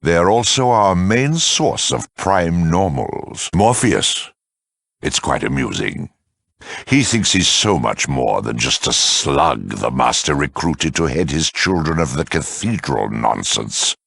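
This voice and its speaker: An actor voicing a cruel, urbane super mutant lieutenant in a video game, mock-courteous, sardonic and theatrical, with a gravelly, raspy voice. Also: male voice, rumbling low, big pitch swings, takes it slowly, a bit bright, no echo, heavily acted. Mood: smug, zealous. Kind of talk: video game villain dialogue.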